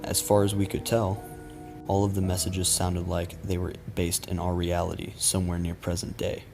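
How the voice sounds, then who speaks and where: A man reading a scripted creepy story as narrator in a measured, matter-of-fact way. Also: low-pitched, monotone, vocal fry. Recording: music behind the voice. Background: background music.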